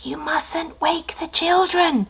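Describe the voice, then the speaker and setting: A woman voicing a gentle storybook animal, cautioning in a drawn-out, hushing whisper. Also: very high-pitched, voice pushed up, exaggerated voice. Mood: hushed warning.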